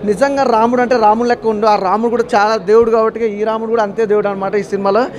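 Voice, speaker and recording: Male moviegoer reviewer, Telugu accent, extremely high voice, rapid gushing praise. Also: little pitch movement, dull audio, slight background noise. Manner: effusive, gushing. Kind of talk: enthusiastic spoken film review.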